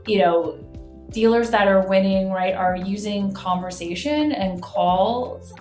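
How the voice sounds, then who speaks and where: Woman interview guest, roomy echo, mid pitch, conversational explainer, faint music. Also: slow pace, a little mellow. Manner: conversational, frequent "like" and "right?" tags. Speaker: guest, marketing executive. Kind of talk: explanatory business interview talk. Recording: roomy, echoey sound.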